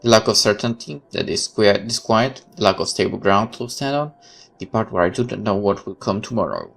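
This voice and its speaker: A man's voice: fairly low pitch, a little fast, creaky, a bit bright. A man reading a horror visual novel aloud as a let's player, with casual commentary of his own.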